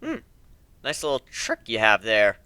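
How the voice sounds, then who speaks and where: A man's voice: on the low side, wildly swooping pitch, unhurried, pushed and bright, clear, resonant tone, dry recording, pitched below the performer's own voice, exaggerated voice. A man voicing a cocky, menacing male griffin warrior, taunting and sarcastic, in a dramatic fantasy story reading.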